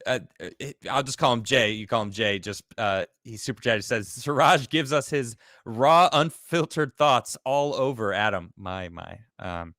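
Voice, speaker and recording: Laughing talk show host, dry sound. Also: male voice, mid-range voice, a little slow, few pauses, dry recording. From Chicago, United States. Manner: laughs often, reads viewer comments aloud in a lively voice. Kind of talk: casual live-stream chat.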